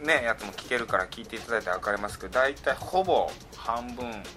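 A man's voice: mid-range voice, lively intonation, fast talker, over music. A man hosting a podcast in casual, jokey, self-deprecating banter. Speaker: podcast host.

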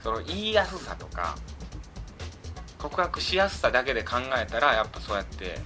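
A man's voice: medium pitch, big pitch swings, normal speed, music in the background. A man hosting a podcast in casual radio chat, bantering with his co-host.

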